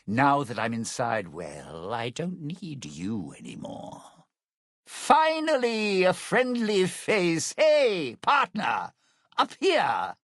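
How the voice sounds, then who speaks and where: A man's voice: lowish voice, very animated, takes it slowly, hardly any pauses, a bit bright, breathy edge, dry recording, studio quality, full character voice. A man playing a slick, cynical lounge singer turned scheming survivor in a video game, with smug, theatrical showbiz patter and an edge of menace. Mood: smug, hostile.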